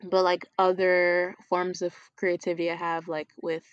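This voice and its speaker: A woman's voice: fairly low pitch, completely flat delivery, normal speed, clean tone, no room sound, dull audio. A woman co-hosting a casual conversation, talking openly and reflectively with frequent restarts.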